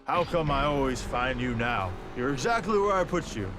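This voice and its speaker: A man's voice: mid-range voice, expressive, moderate pace, natural voice. An actor playing an easygoing, loyal young male street racer, trading teasing banter in a game cutscene. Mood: playful, nostalgic. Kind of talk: naturalistic game cutscene dialogue. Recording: music behind the voice.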